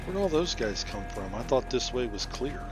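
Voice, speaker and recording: A man's voice: medium pitch, very animated, moderate pace, music in the background. A man giving casual, unscripted commentary as he plays a video game. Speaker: gameplay commentator. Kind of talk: casual let's play commentary.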